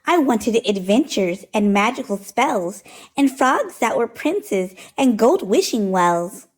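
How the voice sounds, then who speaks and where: A woman hosting storytime, reading a rhyming picture book aloud in an expressive, rhythmic, sing-song way. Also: a little high, takes it slowly.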